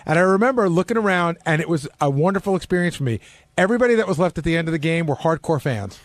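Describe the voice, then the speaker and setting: A man's voice: a little high, lively intonation, rapid-fire, no room sound. A man who is a radio show regular, chatting casually and conversationally.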